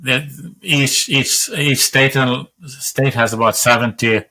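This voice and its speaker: Bright-voiced Swedish-accented older man. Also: mid-range voice, slow pace, poor audio. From Sweden. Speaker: guest, epidemiologist. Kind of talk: interview answers over video call. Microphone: webcam on video call.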